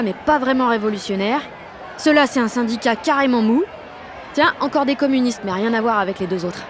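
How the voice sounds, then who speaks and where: A high-pitched, excitable sixteen-year-old girl played by a woman tells her story in an audio drama. She gushes in slang, swears and mocks her own awkwardness. A clear street crowd and urban noise run behind her. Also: natural voice. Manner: gushing, slangy, swearing, self-mocking. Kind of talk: audio drama, slangy first-person inner narration and dialogue.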